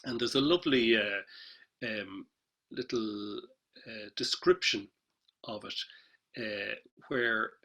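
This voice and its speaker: Male panellist, husky tone, halting unscripted delivery over a video call. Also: lowish voice, bright, forceful tone. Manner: hesitant, many fillers and restarts. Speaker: panellist, traditional musician. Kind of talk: casual webinar talk.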